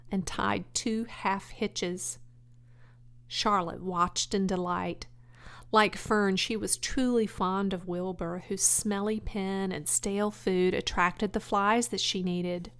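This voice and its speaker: A woman narrating a children's audiobook in an expressive, deliberate, carefully phrased storybook style. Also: medium pitch, smooth.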